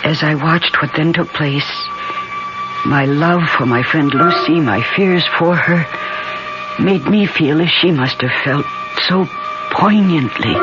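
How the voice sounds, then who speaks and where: An actress playing an anxious, devoted young woman, the heroine and narrator of an old-time radio horror drama, theatrical and intense. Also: sits low. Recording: dull vintage radio, loud music behind.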